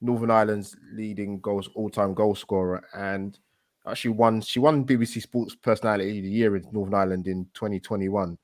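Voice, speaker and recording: A man co-hosting a casual stream chat, talking in a hurried, hesitant way. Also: fairly low pitch, steady tone, dry recording, studio quality. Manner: rapid, hesitant, lots of fillers and restarts. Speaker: co-host.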